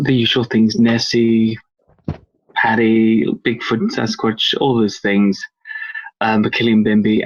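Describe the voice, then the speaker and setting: A middle-aged man from Wales talks at length in a rambling, enthusiastic way, full of ums and asides. His voice is gravelly and a little husky. The audio is dull and lo-fi. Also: mid-range voice. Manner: rambling, enthusiastic, many fillers. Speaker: cryptozoology author. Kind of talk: interview answers.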